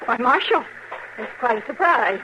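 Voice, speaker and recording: A woman's voice: fairly high pitch, lively intonation, normal speed, natural voice. An actress playing a bitter, domineering older frontier mother, indignant and defensive, in 1950s radio western melodrama. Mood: feigned innocence. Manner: indignant, defensive, self-pitying. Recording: noisy old radio recording.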